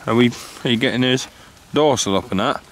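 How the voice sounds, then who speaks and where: A man vlogging a fishing trip outdoors by a lake, chatting excitably and bantering with his brother. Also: medium pitch, brisk, a little hiss or hum. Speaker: angler vlogger. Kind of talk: casual chat.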